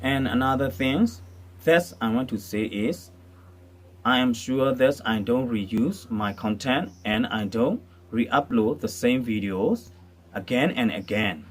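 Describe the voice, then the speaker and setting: A man's voice: medium pitch, slow pace. An English-speaking man from Thailand, making a formal, careful prepared appeal as a channel creator. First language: not English. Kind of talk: prepared spoken appeal to camera.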